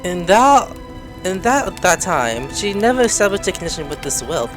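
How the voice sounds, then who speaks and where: A woman gives joking, foul-mouthed game commentary. Her voice is low and swings widely in pitch, with game music behind it. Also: big pitch swings, moderate pace. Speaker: gameplay video host. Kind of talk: playthrough commentary with read-aloud dialogue and joking.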